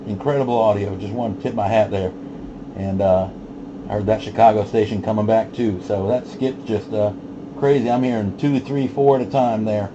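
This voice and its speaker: A man talking casually and laid-back in CB radio lingo, as an operator hosting a channel night. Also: fairly low pitch. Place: home base radio station. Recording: noisy, dull CB radio audio with static hum.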